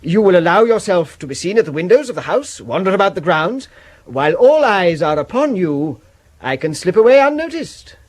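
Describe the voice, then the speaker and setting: An actor playing a pompous, insistent English gentleman with a formal, declamatory manner, in a 1970s radio drama. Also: high voice, big pitch swings, moderate pace. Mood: persuasive.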